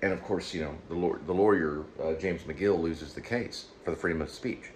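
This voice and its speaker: A man's voice: low-pitched, moderate pace. A man giving casual solo commentary in a rambling, hesitant way, often circling back and repeating himself. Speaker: YouTube show host and amateur writer. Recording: steady background room noise.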